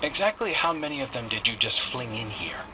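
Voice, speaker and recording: A man's voice: medium pitch, sing-song, fairly quick, noisy background, close to an everyday voice. An actor playing a wounded, defiant young man in a video game, with a sardonic, accusing way of talking. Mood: bitter, accusing. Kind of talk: dramatic video game dialogue.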